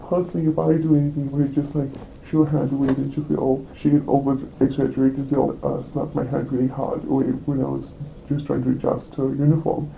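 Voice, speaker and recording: Gravelly, hesitant man recounting an incident. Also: medium pitch, flat delivery, warm tone, lo-fi sound, a little hiss or hum. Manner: halting, hesitant, with many fillers and restarts. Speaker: employee reporting a complaint. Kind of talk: recorded workplace meeting.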